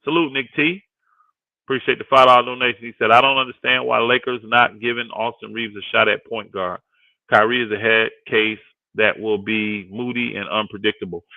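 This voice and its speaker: A man's voice: medium pitch, gravelly, dry recording. A man of fifty in casual, emphatic sports talk on a livestream, often repeating phrases to drive a point home. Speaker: guest co-host and sports commentator on a live panel. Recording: thin phone-like audio.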